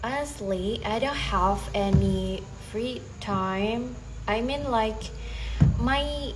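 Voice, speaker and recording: A young woman with an Indonesian accent, a native Bahasa Indonesia speaker, practicing English slowly and hesitantly, with frequent restarts. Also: medium pitch, background noise. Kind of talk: casual conversation practice.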